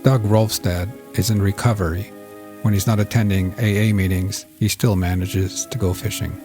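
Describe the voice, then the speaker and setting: A man narrating a scripted story as a fiction podcast host, with a measured storytelling delivery. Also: on the low side, fairly even intonation, music in the background.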